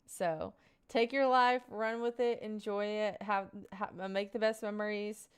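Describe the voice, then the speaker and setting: A woman's voice: mid-range voice, steady tone, unhurried, clean tone. A woman in her twenties talking casually on a live stream, answering viewer questions in a rambling, earnest way full of fillers. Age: 20s.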